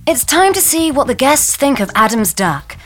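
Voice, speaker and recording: A woman playing arch, deadpan reality TV dinner show voices in a comedy sketch. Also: sits high, moderate pace, soft background music, voice pushed up. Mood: snide.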